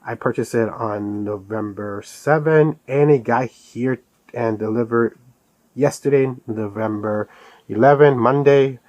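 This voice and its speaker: A man's voice: mid-range voice, measured pace, a little mellow, no echo, lo-fi sound. A man giving a casual, rambling product review as an enthusiastic fan of collectibles. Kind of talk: casual unboxing review vlog.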